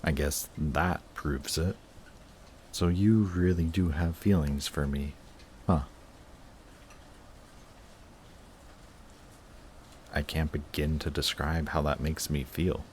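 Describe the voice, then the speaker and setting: A man playing a guarded villain in love with a rival, in an intimate audio roleplay with halting, emotional delivery. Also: a bass voice, fairly even intonation, a little mellow, a little hiss or hum. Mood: overwhelmed, happy. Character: lovestruck male villain, guarded, flustered, confessing love to rival.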